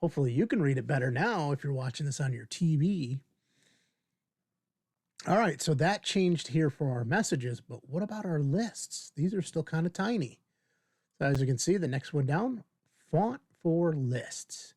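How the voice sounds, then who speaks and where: A man in his fifties hosting a casual screen-share software tutorial, informally thinking aloud as he demonstrates. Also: on the high side, lively intonation, moderate pace, no room sound, studio quality. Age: 50s. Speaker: video tutorial host.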